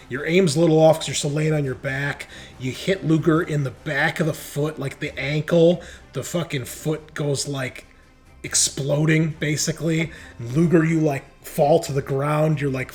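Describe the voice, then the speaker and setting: Higher-pitched man running a tabletop game. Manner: casual, descriptive, with frequent "like". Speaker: game master of a tabletop roleplaying game. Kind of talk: improvised game narration and rules calls.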